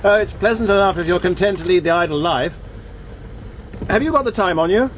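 An actor playing an easygoing, drily jocular English country squire with an upper-class accent in a radio drama. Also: male voice, high voice, expressive, a little fast. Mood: breezy. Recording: dull recording with faint background noise.

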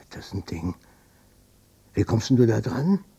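Radio drama: short-tempered, evasive old father and ex-policeman, slow and husky. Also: on the low side, very animated, full character voice. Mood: amazed, absorbed. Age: older. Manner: blustering, repetitive, swinging from muttering to shouting. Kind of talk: German radio drama acting.

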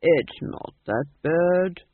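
Male reader as old ghost king, high swooping voice, breezy, rambling, storybook character, thin phone-like sound. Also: wildly swooping pitch, measured pace, vocal fry, husky, no echo, full character voice. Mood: reassuring, rambling. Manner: breezy, helpful, rambling, matter-of-fact. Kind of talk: audiobook character voice. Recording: narrow, phone-like audiobook sound.

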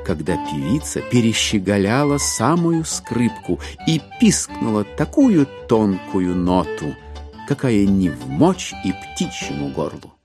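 An actor playing a wry narrator, giving expressive literary narration in an audio drama. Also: male voice, lowish voice, measured pace. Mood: wry storytelling. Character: wry male narrator of a classic satirical novel. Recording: music behind the voice.

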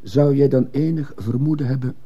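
A man playing a troubled schoolteacher in a radio drama, speaking with restraint and quiet insistence. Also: medium pitch, steady tone, moderate pace, husky, highs cut off, close to an everyday voice. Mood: probing, insistent. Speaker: actor in a radio play. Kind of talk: Dutch-language radio drama acting.

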